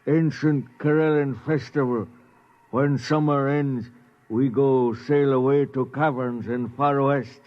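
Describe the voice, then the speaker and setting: Slow-speaking, placid alien native played by a man. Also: medium pitch, fairly even intonation, lightly acted. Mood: courteous, regretful. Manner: halting broken English, formal and unhurried. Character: placid, slow-witted male alien native, courteous and fatalistic. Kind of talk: 1950s radio drama acting. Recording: dull old radio recording.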